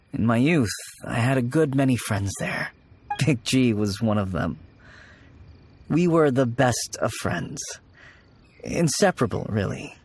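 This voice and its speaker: A man playing an anxious, gentle young man in an anime game, hesitant and subdued. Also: medium pitch, creaky, slightly rough, exaggerated voice. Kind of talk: English anime game voice acting.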